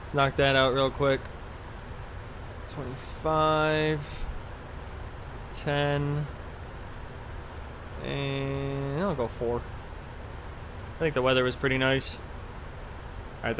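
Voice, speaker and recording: A man's voice: mid-range voice, level tone, slow, pauses often, background noise. A man live streaming a flight simulator in casual chat, rambling in an offhand, mildly profane way.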